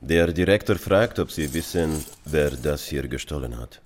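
Male, formidable Russian interpreter-henchman for radio drama: extremely low voice, formal, insistent, stern. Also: lively intonation, normal speed, noisy background. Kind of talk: audio drama dialogue.

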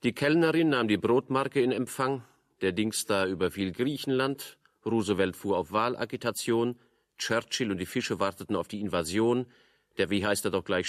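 A man as an ironic storyteller narrating a radio drama. The voice is fairly low and closely recorded without room sound, with a dry literary delivery. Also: normal speed, no echo, natural voice. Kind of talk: scripted literary narration in a 1970 radio play.

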